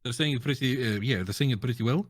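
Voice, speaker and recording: A man with a Russian accent chats casually in a mid-pitched voice, recorded dry with very little room sound. He talks like a streamer guest, exaggerating for jokes as he shares his opinions. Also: fast, slightly rough, no room sound. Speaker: guest, game streamer and content creator. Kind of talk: casual chat, giving opinions on game audio.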